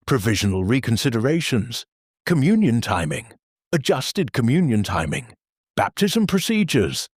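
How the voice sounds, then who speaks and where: A man playing a deadpan rule-bound minister. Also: on the low side, slightly rough, dry recording, clean studio sound. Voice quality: formal and deadpan, bureaucratic phrasing. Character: stiff, rule-bound male church minister. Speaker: church announcer. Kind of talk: satirical audio drama.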